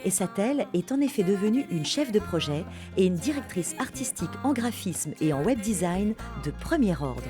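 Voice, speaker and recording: A woman's voice: mid-range voice, big pitch swings, normal speed, over music. A woman hosting an interview, asking friendly questions with frequent hesitations. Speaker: host.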